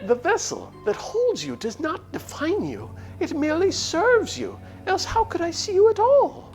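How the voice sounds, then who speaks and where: A woman's voice: very high-pitched, huge pitch swings, moderate pace, a little mellow, soft background music, voice pushed up, full character voice. A woman voicing an eager, wistful spirit of light from a fantasy game, speaking in grand, formal, archaic phrases with emphasis. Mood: bright, insistent. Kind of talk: theatrical read-aloud of a fantasy game character.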